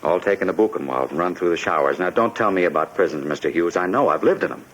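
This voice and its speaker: Dull, noisy old radio drama recording: a haunted immigrant gunman, low-pitched, bitter and desperate. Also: lively intonation, fast. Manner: bitter, insistent, emotionally wrought. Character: desperate, haunted Czech immigrant man with a gun. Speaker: actor. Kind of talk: 1950s radio drama acting.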